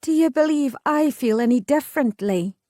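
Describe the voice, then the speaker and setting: A woman's voice: sits high, lively intonation, moderate pace, mellow, no room sound, clean studio sound, pitched above the performer's own voice. Woman voicing a wry headstrong young noblewoman. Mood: earnest.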